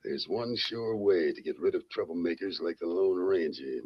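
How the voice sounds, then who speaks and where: An American actor playing a swaggering, scheming outlaw gang boss with a folksy cowboy drawl in an old radio western. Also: male voice, low-pitched, moderate pace, husky. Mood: smug menace. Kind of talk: 1940s radio western acting. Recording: muffled old radio recording.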